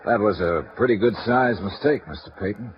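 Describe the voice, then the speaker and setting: A man's voice: fairly low pitch, normal speed, noisy background, natural voice. Man playing a cool freelance insurance investigator, clipped wry replies, muffled old radio sound. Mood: probing. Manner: dry, laconic, clipped replies. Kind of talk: 1950s radio drama acting and narration. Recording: muffled vintage radio broadcast with music behind.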